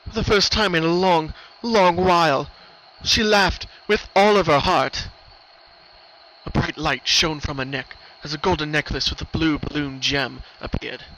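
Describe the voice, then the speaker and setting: A man's voice: a little high, fairly even intonation, unhurried, sharp tone. A man narrating a scripted story in an earnest, theatrical way. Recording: dull lo-fi recording, background noise. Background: noise.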